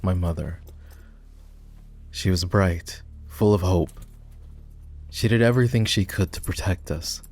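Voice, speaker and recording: Proud, bitter war-hero knight for fantasy roleplay ASMR, male actor; lowish pitch, sardonic delivery, soft music underneath. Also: measured pace, long pauses. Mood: grieving, bitter. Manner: scornful, dramatic, sardonic.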